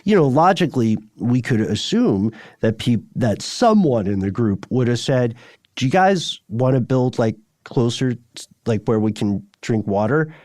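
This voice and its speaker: A man's voice: mid-range voice, lively intonation, a little slow, darkish tone, dry recording. Male podcast co-host, dry close-sounding recording. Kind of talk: conversational explanatory discussion.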